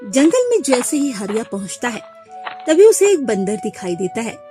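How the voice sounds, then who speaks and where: A woman narrating a scripted moral tale as an expressive storyteller. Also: a little high, normal speed, faint music behind.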